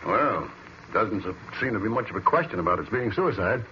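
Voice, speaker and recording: An actor playing a plain-spoken, dry American medical examiner in an old-time radio drama. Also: male voice, lowish voice, lively intonation, fairly quick, bright, forceful tone. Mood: dry, matter-of-fact. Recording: muffled, hissy vintage radio recording.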